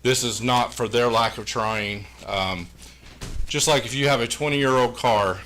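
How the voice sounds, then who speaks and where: Booming man presenting. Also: a little slow, sharp tone. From Pulaski County, Virginia, USA. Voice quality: big booming voice. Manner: folksy, frequent "uh" fillers. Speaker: engineering firm presenter. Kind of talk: presentation to a school board. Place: public meeting room. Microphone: meeting room microphone.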